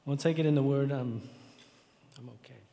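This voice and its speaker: A man with a raspy voice giving a halting, emotional memorial address and reading scripture in a church. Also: medium pitch, fairly even intonation, mellow, long reverb tail. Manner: halting, tearful, repeats words. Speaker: speaker at a memorial service.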